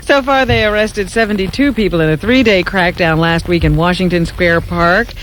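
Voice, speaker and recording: A woman's voice: fairly low pitch, normal speed, poor audio. A woman co-hosting a radio show, reading news items and trading laughs and banter with the host. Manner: laughs often, reads items then chats. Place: radio studio. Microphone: studio microphone. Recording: faint background noise, vintage radio broadcast.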